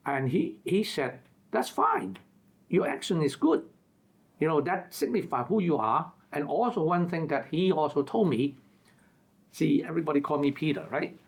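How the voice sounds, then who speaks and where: A man's voice: fairly high pitch, expressive, moderate pace, husky. A man from Hong Kong with a Hong Kong English accent, earnestly telling a personal story in an interview. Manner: earnest, repeats words as he tells stories. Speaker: interview co-guest.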